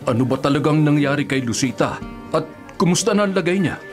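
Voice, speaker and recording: Radio drama: actor as reproachful older Filipino uncle, protective, fairly high male voice, light music bed. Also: expressive, fairly quick, close to an everyday voice. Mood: concerned. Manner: reproachful, concerned. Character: protective, scolding older uncle of grown siblings.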